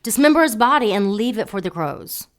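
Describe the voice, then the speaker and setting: A woman playing a seductive female dark angel who teases and mocks in an audio drama. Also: mid-range voice, sing-song, brisk, lightly acted. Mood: teasing. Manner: teasing, sultry, mocking.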